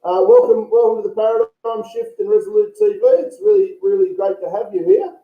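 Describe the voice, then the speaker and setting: A man's voice: extremely high pitch, very animated, brisk, creaky. An Australian man hosting a casual political interview, chatty and blokey, often calling his guest mate. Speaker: interviewer, show host. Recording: echoey room, phone-like thin sound.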